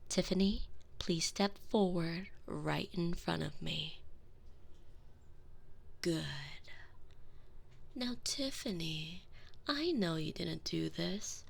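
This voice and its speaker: Woman doing a vampire mistress, super slow and measured, gravelly fry, keeps sinking to a whisper, binaural mic. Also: lowish voice, full character voice. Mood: whispering, cruel. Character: cold, elegant female vampire mistress, menacing. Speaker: audio roleplay performer. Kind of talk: binaural audio roleplay. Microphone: binaural microphone.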